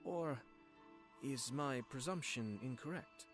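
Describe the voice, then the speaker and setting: Game role male actor: polished young lord, mid-range voice, formal diction, quiet wryness, music clearly underneath. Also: medium pitch, takes it slowly, lightly acted. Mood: courteous, composed. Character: composed, courteous young aristocratic lord, quietly wry. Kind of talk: English video game voice acting.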